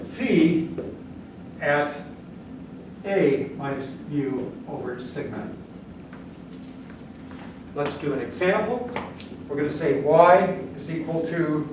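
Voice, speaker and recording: An older man whose first language is German, lecturing on statistics at a whiteboard in a casual, blunt way that keeps checking in with the class. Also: a little high. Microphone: camera at the back of the room. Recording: echoey classroom from far off, noisy.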